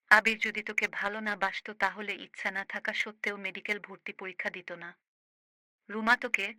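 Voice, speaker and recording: A woman's voice: mid-range voice, pushed and bright, no room sound. A woman playing a firm, caring older sister in a cartoon, lecturing her grown brother in an emphatic, preachy way. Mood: earnest, advising. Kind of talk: amateur cartoon voice acting.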